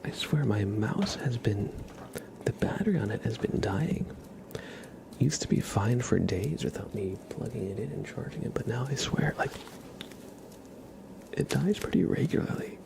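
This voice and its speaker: A man hosting an ASMR livestream, chatting casually to viewers in a soft, breathy, gentle whisper close to the microphone. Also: medium pitch, expressive, normal speed, long pauses.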